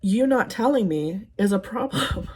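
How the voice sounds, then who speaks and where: A woman's voice: medium pitch, animated, measured pace, slightly rough. A woman in her thirties chatting casually while doing her makeup, telling rambling, sarcastic dating stories with lots of ums. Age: 30s. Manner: sarcastic, exasperated storytelling. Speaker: beauty vlogger. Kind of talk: casual get-ready-with-me chat.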